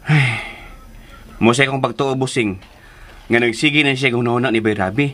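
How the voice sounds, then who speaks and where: An actor with a Filipino accent playing a gossipy, concerned friend in a radio drama, exclaiming expressively. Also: male voice, mid-range voice, normal speed, a bit bright, lightly acted. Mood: worried, animated.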